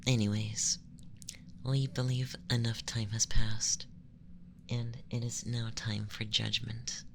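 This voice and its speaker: Man as a soft, creaky, whispering alien abductor. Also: medium pitch, little pitch movement, a little hiss or hum. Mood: calm, clinical. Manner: condescending, measured, formal. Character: haughty, clinical femboy alien abductor assessing humanity. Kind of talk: ASMR roleplay, soft-spoken and whispered.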